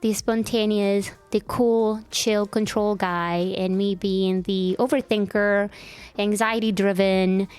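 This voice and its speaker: A woman's voice: medium pitch, fairly even intonation, slow pace, darkish tone, clear, resonant tone, faint music behind. Woman in her twenties, chatty podcast host. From El Salvador. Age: 20s. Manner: chatty, self-deprecating, laughs easily. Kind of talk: casual chat.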